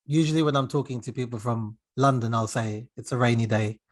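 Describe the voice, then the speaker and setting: A man hosting an interview podcast, speaking over a remote call in a steady conversational way. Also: mid-range voice, fairly quick. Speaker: podcast host.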